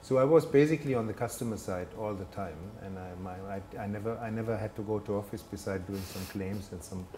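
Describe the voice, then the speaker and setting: A man's voice: on the low side, fast, few pauses, noisy background. A man, speaking as a guest in a studio interview, hesitant and self-deprecating with many fillers and restarts. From Germany. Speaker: guest, telecom executive. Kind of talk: conversational interview. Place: studio set with brick wall, indoors.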